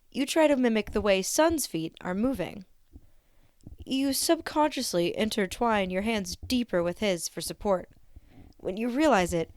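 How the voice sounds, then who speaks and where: A woman reading fan fiction aloud as an expressive narrator. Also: medium pitch, dry recording, studio quality.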